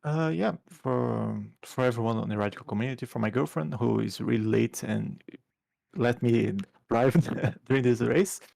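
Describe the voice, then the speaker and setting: Gravelly Brazilian-accented male racer. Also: medium pitch, a little mellow. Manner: halting, repeats words, second-language phrasing. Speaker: race winner being interviewed. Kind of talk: post-race interview.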